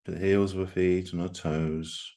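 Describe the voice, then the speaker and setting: A man leading a guided meditation as a group guide, speaking gently and unhurriedly with long pauses. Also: sits low, level tone.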